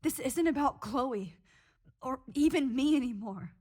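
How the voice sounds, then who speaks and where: An actress playing an earnest, defensive teenage heroine in a video game, with a husky, pleading voice. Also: female voice, sits high, lively intonation, measured pace, darkish tone, heavily acted. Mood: defensive, upset. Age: young adult.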